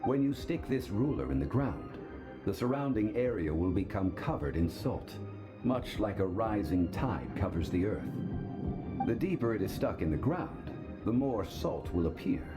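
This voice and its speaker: Stately scholar, very deep, formal, music under. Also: male voice, big pitch swings. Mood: stern. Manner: measured, formal, authoritative. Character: calm, learned man, ancient and dignified. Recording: game cutscene over music.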